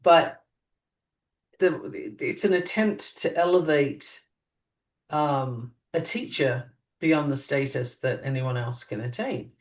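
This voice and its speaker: A woman co-hosting a podcast in casual chat, musing and lively, her pitch jumping up on emphatic questions. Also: slow. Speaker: podcast co-host. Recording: voice a bit distant from mic.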